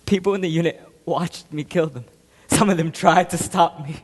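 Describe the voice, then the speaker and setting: A man's voice: fairly high pitch, close to an everyday voice. Man acting a haunted war veteran in an echoing hall. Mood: anguished, defensive. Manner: halting, intense, swinging from hushed to explosive. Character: guilt-ridden male war veteran confessing, haunted and anguished. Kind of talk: theatrical stage monologue. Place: large echoing room, performing to an audience. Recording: reverberant hall recording.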